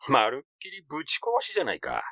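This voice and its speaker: A man voicing a rash, glib, excitable schemer in an audiobook, talking in a blunt, teasing, effusive way. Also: medium pitch, quick pace, vocal fry, no room sound, clean studio sound, exaggerated voice. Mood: scornful, blunt. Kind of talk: literary audiobook character dialogue.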